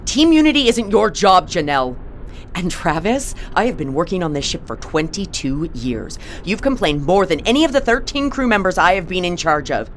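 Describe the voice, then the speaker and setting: A stern veteran ship commander. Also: female voice, on the low side, big pitch swings, moderate pace, a little hiss or hum. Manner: firm, commanding.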